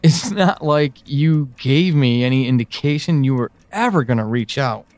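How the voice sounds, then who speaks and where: A man playing an anxious, pleading character in an audio drama monologue, speaking earnestly and haltingly. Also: medium pitch, animated, a little mellow, no room sound, close to an everyday voice. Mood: hurt, hesitant. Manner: halting, earnest, with pauses and emphatic swings. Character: anxious, pleading man reaching out to a lost loved one.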